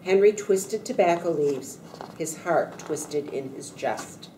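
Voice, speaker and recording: A woman's voice: deep voice, lively intonation, slow pace, mellow. A woman on a school staff reading a storybook aloud to children. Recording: distant mic in echoey room, faint noise.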